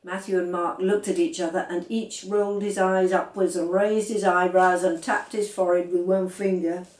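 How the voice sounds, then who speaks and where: A woman's voice: on the low side, little pitch movement, moderate pace, darkish tone. An older woman reading a children's story aloud in a living room. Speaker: storybook reader. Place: small living room, indoors. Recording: roomy, distant microphone.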